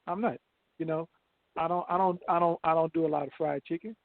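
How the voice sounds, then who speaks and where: Co-host, man in his forties, gravelly, earnest and repetitive like a sermon, tinny phone sound. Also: on the high side, fairly even intonation, fairly quick, dry recording. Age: 40s. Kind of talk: casual radio chat. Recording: dry, phone-like lo-fi line.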